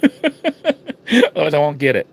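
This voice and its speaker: A man from the United States talking casually to his vlog viewers, rambling with restarts and fillers and swinging between low murmurs and sudden emphatic bursts. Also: wildly swooping pitch, slow, vocal fry, slight background noise. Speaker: vlogger. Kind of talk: casual vlog talk to camera.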